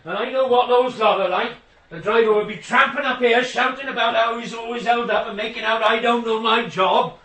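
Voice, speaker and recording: An actor playing a proud, touchy middle-aged signalman father in a radio drama, gruff and lecturing. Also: extremely high pitch, fairly even intonation, a little fast, pushed and bright, poor audio. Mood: indignant. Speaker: railway signalman in a radio play. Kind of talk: naturalistic radio drama acting.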